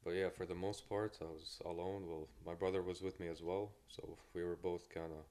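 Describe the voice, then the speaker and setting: A man's voice: deep voice, little pitch movement, brisk, mellow. A man in his twenties from Kuwait, a podcast guest talking in an easygoing, laid-back way. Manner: easygoing, laid-back, with frequent fillers and short laughs. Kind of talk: casual interview chat.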